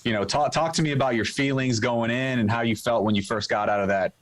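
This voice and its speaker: A man's voice: medium pitch, fast talker. A man co-hosting a podcast in casual chat, talking in an informal, buddy-like way with plenty of slang. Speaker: podcast co-host.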